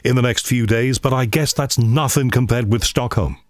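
Man, podcast host, mid pitch, dry close mic, chatty and keen. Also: normal speed, dry recording. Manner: chatty, enthusiastic, many ums. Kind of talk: scripted podcast introduction and interview. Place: cold room at home.

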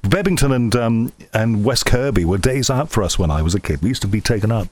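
A man from Liverpool with a Liverpool accent, hosting a conversational interview and chatting with quick, reminiscing asides. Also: lowish voice, animated, a little mellow. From England (Liverpool). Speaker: podcast host and broadcaster.

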